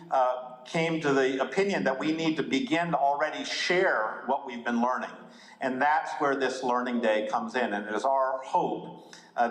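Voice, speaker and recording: Measured man speaking in an echoey hall. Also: mid-range voice. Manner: measured, frequent uh pauses. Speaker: subcommittee chair. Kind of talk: formal welcome remarks. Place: church hall with audience.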